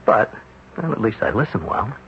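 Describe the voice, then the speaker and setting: A calm psychiatrist in a 1970s radio drama, low and lively in his phrasing. He speaks in a measured, gently probing way, on a muffled old recording with faint hiss. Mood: concerned. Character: calm, thoughtful male hospital psychiatrist. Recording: muffled vintage radio broadcast.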